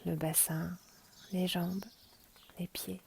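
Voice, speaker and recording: A woman guiding a relaxation meditation in a hushed, breathy, half-whispered, soothing voice, as a yoga nidra teacher. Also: on the low side, flat delivery, slow, slight background noise. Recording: rain and birdsong behind the voice. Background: rain and birds.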